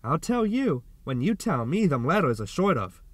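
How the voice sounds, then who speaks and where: A man's voice: on the high side, big pitch swings, normal speed, dry recording, voice pushed up. A stern young country woman of strict faith, acted out by a man in an audiobook story. She speaks plainly and insistently. The sound is very dry.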